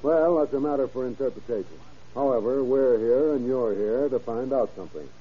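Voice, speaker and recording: A tough police captain played by a male radio actor speaks in a husky, mid-pitched voice on a muffled old recording. He is brusque and slangy, always in command, with a wisecracking edge. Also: brisk, natural voice. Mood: stern, pressing. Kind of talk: 1930s radio crime drama acting.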